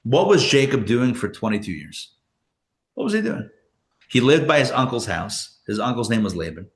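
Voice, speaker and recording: A man's voice: medium pitch, big pitch swings, moderate pace. Guy rabbi giving a live class, easygoing, laughs a lot, trips over words sometimes. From born in Israel, raised elsewhere. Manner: casual, self-interrupting, chuckles often, answers audience questions. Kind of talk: live Torah class, conversational lecturing.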